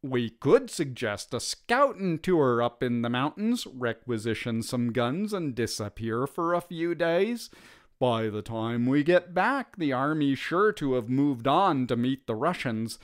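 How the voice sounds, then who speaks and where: Guy doing a wary uneducated british army grunt, rough grammar, storybook tale. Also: medium pitch, sing-song, a little slow. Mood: scheming. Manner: rough ungrammatical speech.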